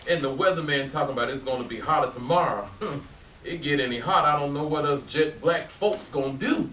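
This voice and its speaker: A man acting a salty older working man in a stage play, grumbling and weary. His voice is a little high, heard from off in an echoey room with some hiss. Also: brisk, pushed and bright. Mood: weary, chatty. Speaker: actor in a readers theatre play. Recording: hissy, echoey stage pickup from far off.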